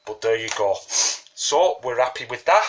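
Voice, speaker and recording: A man's voice: mid-range voice, animated, moderate pace, a bit bright, a little hiss or hum. A man with a cold, sniffling a little, talking casually and rambling as he explains a home brewing recipe at his kitchen table. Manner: chatty, rambling, says "you know what I mean". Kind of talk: casual how-to tutorial.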